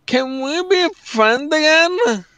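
A man's voice: very high-pitched, lively intonation, slow pace, pushed and bright, no room sound. A man chatting casually on a livestream in a blunt, emphatic, opinionated way. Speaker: streamer and co-commentator. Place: indoor room at a streaming setup.

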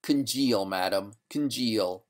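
A narrator playing a firm, coolly courteous gentleman in a storybook, formal and measured, with a quiet gloat. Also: medium pitch, animated, vocal fry. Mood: cold triumph.

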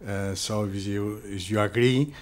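Male workshop chair, low-set, unhurried, stumbling over his words. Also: steady tone. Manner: halting, many fillers and repeated words. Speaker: workshop organizer chairing the session. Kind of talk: hesitant chairing of an academic workshop. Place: large lecture hall.

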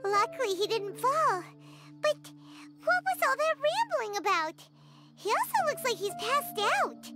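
A woman voicing a tiny, excitable childlike fairy companion in an anime game, squeaky and exclamatory. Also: measured pace, loud music behind the voice, heavily acted. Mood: puzzled.

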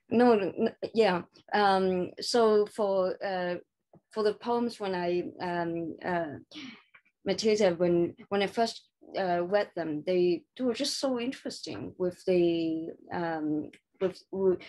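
A woman moderating an online panel, asking questions haltingly with many fillers. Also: on the low side, a little mellow.

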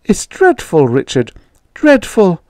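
A man voicing a timid, anxious, frail mother in a story, soft and pleading. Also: high-pitched, huge pitch swings, takes it slowly, no echo, studio quality, voice pushed up, full character voice. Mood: frightened.

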